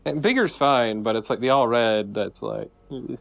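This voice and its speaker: A man's voice: medium pitch, moderate pace, vocal fry, no echo, highs cut off. A man chatting casually as a co-commentator over video game footage. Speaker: let's play co-commentator.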